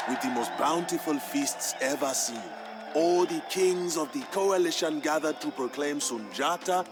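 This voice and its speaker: An actor playing a proud royal griot, narrating a strategy game's campaign story in a grand, measured and emphatic manner. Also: male voice, on the high side. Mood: triumphant. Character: proud male griot, a king's humble family historian, solemn storyteller. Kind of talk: dramatic scripted narration for a strategy game campaign. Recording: music behind the voice. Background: background music.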